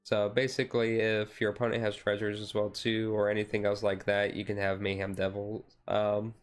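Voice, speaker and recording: Low, even-toned man chatting softly paced. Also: normal speed. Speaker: streamer. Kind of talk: relaxed ASMR-style deck breakdown, casual chat. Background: background music.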